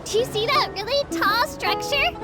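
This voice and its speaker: A woman's voice: very high voice, moderate pace, loud music behind the voice. A woman voicing a small childlike female creature who works as a polite, eager, bashful tour guide in a video game. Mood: cheerful, informative. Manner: polite, eager, self-deprecating, easily flustered.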